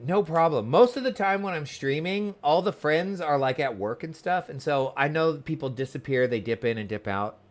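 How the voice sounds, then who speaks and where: A man chatting casually on a livestream, commenting on the game he plays and talking to his viewers. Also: mid-range voice. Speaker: livestreamer playing an online game.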